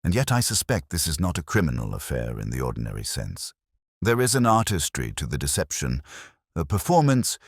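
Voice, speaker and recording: A brilliant male detective, played by a male narrator with an extremely low voice and lively intonation. He is composed and probing, setting out each deduction in a measured, cryptic way. It is storybook mystery dialogue, recorded close and dry. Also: vocal fry, dry recording, exaggerated voice. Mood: thoughtful, cryptic.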